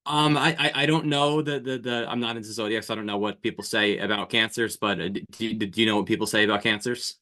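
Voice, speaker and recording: A man's voice: on the low side, steady tone, fast talker, hardly any pauses, sharp tone. A man in his twenties, a podcast guest chatting casually, hesitant with lots of fillers and restarts. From New Jersey. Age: 20s. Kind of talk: casual chat.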